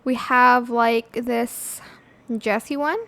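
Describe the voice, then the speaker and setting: A woman hosting a casual software tutorial, talking in a chatty way with plenty of "like" and "um" fillers. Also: fairly high pitch, fairly even intonation, slow pace, gravelly. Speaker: tutorial channel host. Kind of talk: casual screen-recording tutorial.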